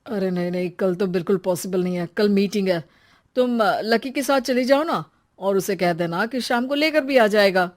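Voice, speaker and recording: A woman's voice: medium pitch, normal speed, close to an everyday voice. A woman voicing a busy, irritable young husband, offhand in manner, in a family story. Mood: dismissive.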